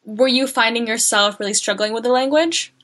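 A woman's voice: a little high, brisk, fairly bright tone. A woman hosting a podcast interview, asking open questions and adding brief encouraging remarks. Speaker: podcast host. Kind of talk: casual interview conversation.

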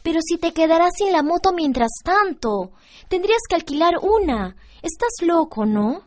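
An actress with a Peruvian accent playing an outspoken, cautious young student niece in a radio drama, blunt and questioning. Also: female voice, very high-pitched, big pitch swings, moderate pace. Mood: alarmed, skeptical.

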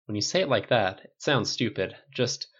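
Man voicing a jittery inventor guy, quick, high and animated, slightly muffled recording. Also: breathy edge. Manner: fast, fidgety and easily defensive. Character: quick, restless male genius inventor.

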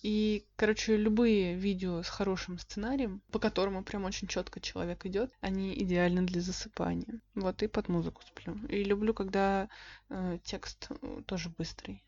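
A woman's voice: mid-range voice, steady tone, normal speed, darkish tone. A young woman co-hosting a podcast, talking in a casual, reflective and detailed way. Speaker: podcast co-host. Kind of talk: casual chat. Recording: dull lo-fi podcast recording.

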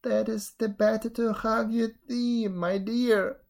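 A woman's voice: fairly high pitch, steady tone, slow, creaky, a little mellow, pitched above the performer's own voice. A woman voicing a sly male wolf in a fairy tale, coaxing with mock tenderness.

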